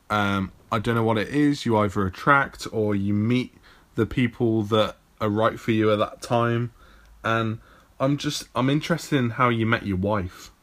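A man's voice: mid-range voice, a bit bright. A man hosting a casual interview, encouraging his guest and often saying "yeah" and "like". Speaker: podcast host.

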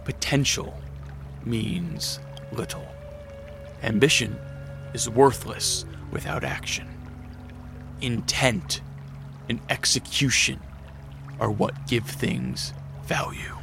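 An actor playing Dracula as a brooding, restrained vampire count in a radio drama, speaking in measured, formal phrases. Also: male voice, medium pitch, steady tone, gravelly, sharp tone, heavily acted. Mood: measured, stern. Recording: music behind the voice.

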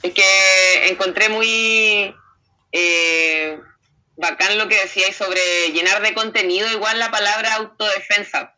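A woman interviewer with a Chilean accent, speaking in a very bright, sharp voice. She chats informally and a little hesitantly, feeling her way through her points. The sound is dull and lo-fi. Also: mid-range voice, fairly even intonation, measured pace. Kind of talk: casual conversational interview.

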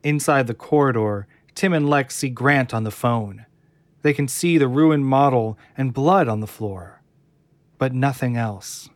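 A man reading scripted narration for an audio drama in a measured, dramatic way. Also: mid-range voice, no room sound.

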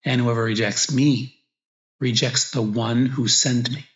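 A male priest leads a guided meditation in a mid-pitched voice, in slow, reflective phrases broken by pauses. The recording is echoey and a little dull. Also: steady tone. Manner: slow, reflective, pausing between short phrases. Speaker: priest guiding meditation and prayer. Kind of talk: guided meditation, spoken prayer with gospel reading. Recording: echoey room, slightly dull sound.

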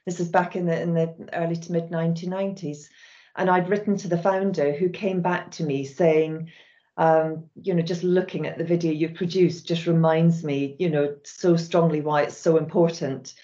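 A middle-aged woman with a Scottish accent, talking in a measured, reflective way and often restarting a phrase. Her voice sits low and dark. The recording sounds a little dull and muffled. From Scotland. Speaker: charity chief executive. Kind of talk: podcast interview answers.

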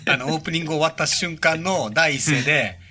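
A man co-hosting a casual podcast, teasing with deadpan wisecracks. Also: a little high, a little fast, breathy edge, faint music behind. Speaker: podcast co-host.

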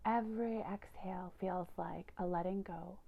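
A woman yoga nidra teacher gently guiding a meditation in a soothing, unhurried manner, with phrases that trail into breathiness. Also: fairly low pitch, fairly even intonation, gravelly, narrow, tinny audio. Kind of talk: guided meditation narration.

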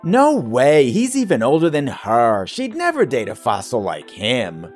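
Coy office assistant, animated swooping pitch, flirtatious, gossipy, teasing, eager, campy audio comedy. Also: male voice, a little slow, faint music behind. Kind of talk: campy satirical audio drama acting.

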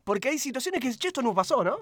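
A man's voice: extremely high pitch, very animated, fast talker, fairly bright tone, dry recording. A man with a Rioplatense Argentine accent hosting a casual film podcast, chatty and joking, often laughing. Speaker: podcast host. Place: studio.

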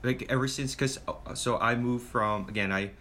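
A man's voice: medium pitch, normal speed, sharp tone, a little hiss or hum. A young man from Hong Kong chatting casually on a podcast, earnest and rambling, often laughing at himself. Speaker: podcast co-host. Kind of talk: casual chat.

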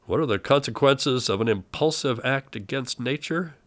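A man's voice: medium pitch, normal speed. A man hosting and commenting on vintage radio in a conversational, tongue-in-cheek way. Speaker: host of an old-time radio podcast.

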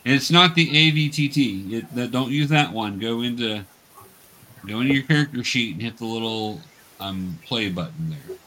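A man's voice: mid-range voice, animated, moderate pace, sharp tone, rough recording. A man guiding players through an online tabletop role-playing game, explaining patiently step by step with some hesitation. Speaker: dungeon master, teacher.

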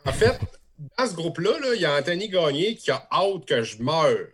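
A man, a band's guitarist, trading quick, teasing banter in an interview. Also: fairly high pitch, very animated, fairly bright tone, slight background noise. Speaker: guitarist in a band. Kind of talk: joking interview chat.

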